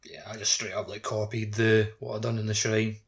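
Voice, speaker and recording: A man's voice: fairly low pitch, flat delivery, brisk. A man chatting casually about a video game as a podcast co-host, with background noise and clicks.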